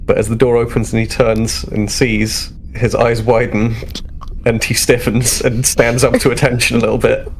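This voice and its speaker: A man's voice: medium pitch, level tone, normal speed, vocal fry, a little hiss or hum. A man casually improvising descriptions of his character as a player in a tabletop game.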